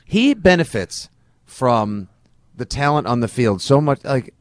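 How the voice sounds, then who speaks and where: A man co-hosting a sports podcast, bantering casually about football in an animated, joking, ranting way. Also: mid-range voice, measured pace, dry recording, studio quality.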